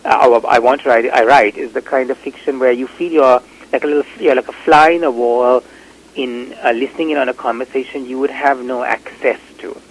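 Man, from Sri Lanka, novelist, thoughtful, hesitant, fillers, slight fry. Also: mid-range voice, fairly even intonation, a little fast, rough recording. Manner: reflective, hesitant with fillers and restarts. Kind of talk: thoughtful interview answers.

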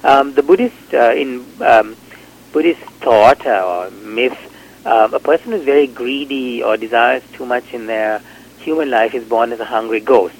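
A man's voice: medium pitch, normal speed, gravelly, slight background noise, rough recording. A man from Sri Lanka, a novelist, answering interview questions in a reflective, hesitant way with many fillers and restarts.

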